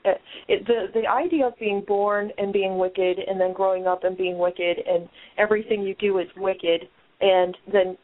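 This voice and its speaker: A woman's voice: mid-range voice, steady tone, moderate pace. A woman hosting a call-in discussion, talking earnestly and kindly to her guests. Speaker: podcast host. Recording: tinny phone-line audio.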